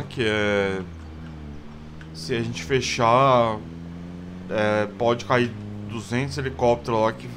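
A man's voice: mid-range voice, background noise. A man with a Brazilian Portuguese accent casually commenting on his survival game, rambling with many fillers. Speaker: gameplay video host. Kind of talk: casual let's play commentary.

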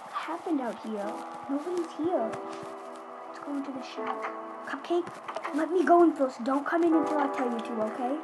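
High-pitched girlish woman chatting while gaming. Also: animated, moderate pace, music in the background, poor audio. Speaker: gaming video host. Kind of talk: casual Minecraft roleplay commentary.

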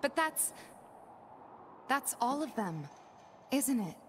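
An actress playing a young, caring female resistance fighter in a video game, speaking gently and earnestly. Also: high-pitched, big pitch swings, slow, a little hiss or hum, full character voice. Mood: concerned.

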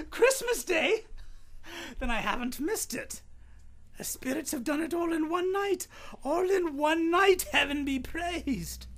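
An actor playing an old male miser in an old-time radio drama, theatrical and emphatic, with dramatic pauses. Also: very high-pitched, animated, gravelly, fairly bright tone, full character voice. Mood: giddy joy.

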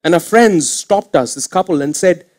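Man, thirties, pastor, sermon, slightly high voice, headset mic, church stage. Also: animated, moderate pace. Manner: earnest preaching, swings from quiet to emphatic. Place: church stage with curtain backdrop.

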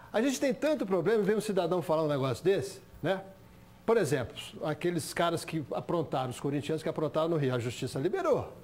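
Chatty TV sports host, higher voice. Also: male voice, darkish tone. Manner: conversational, frequent "né?" tags. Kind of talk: studio sports talk, reading viewer messages. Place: TV studio, standing.

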